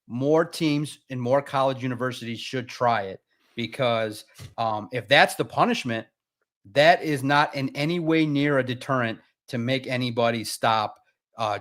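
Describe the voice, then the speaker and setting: A man's voice: medium pitch, unhurried, fairly bright tone. A man arguing his case as a guest on a sports talk show, needling and emphatic. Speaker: guest pundit. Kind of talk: casual sports debate.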